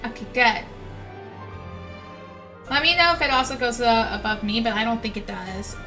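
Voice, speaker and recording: A woman's voice: a little high, lively intonation, normal speed, music in the background. A young woman game streamer chatting casually with viewers in a slangy, sweary way.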